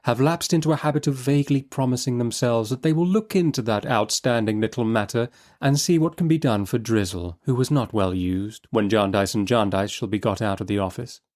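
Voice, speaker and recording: A man's voice: mid-range voice, a little fast, few pauses, darkish tone. A man giving a dramatic, literary audiobook narration. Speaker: narrator and host.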